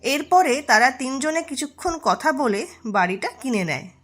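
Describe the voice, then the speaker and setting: High-pitched woman narrator. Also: expressive, moderate pace, fairly bright tone, soft background music. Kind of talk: scripted storybook narration.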